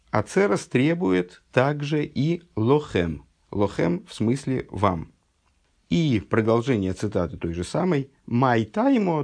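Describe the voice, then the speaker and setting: A man with a husky, gravelly low voice teaches a text study lesson. He reads each source passage slowly, then explains it plainly, sounding like he is just recovering from an illness. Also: a little mellow. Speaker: religious teacher.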